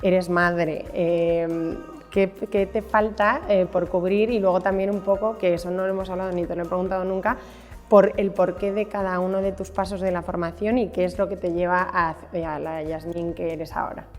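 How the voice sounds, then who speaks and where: A woman's voice: lowish voice, fairly even intonation, moderate pace, darkish tone, faint music behind. A woman with a Spanish accent from Spain, hosting a casual, conversational interview as a podcast host. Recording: echoey room with long reverb.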